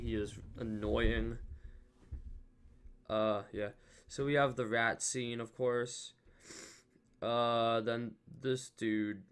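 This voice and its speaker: A man hosting a reaction video with casual, rambling, emphatic commentary. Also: mid-range voice.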